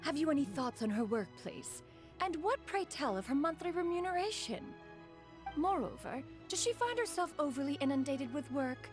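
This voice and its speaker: A woman's voice: sits high, very animated, normal speed, faint music behind. A woman voicing a proud, ancient female bird spirit who speaks in a lofty, archaic way and calls herself "one". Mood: haughty. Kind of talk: video game voice acting.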